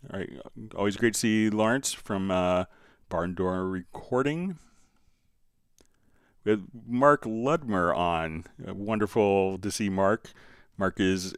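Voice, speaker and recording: A man with a low, smooth voice hosts a casual live tutorial. He explains things conversationally, like an instructor chatting with viewers. The sound is dry and close. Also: no echo. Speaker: live stream host and software instructor.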